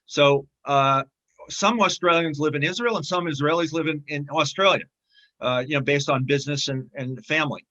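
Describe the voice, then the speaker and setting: A man speaking as a guest in a casual interview, talking haltingly with many fillers and restarts. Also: fairly high pitch, bright, forceful tone, muffled recording. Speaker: film distributor, interview guest.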